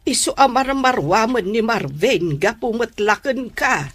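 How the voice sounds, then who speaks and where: A woman acting a stern, concerned middle-aged mother in a radio drama, admonishing in a deliberate way. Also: a little high, lively intonation, breathy edge, faint music behind.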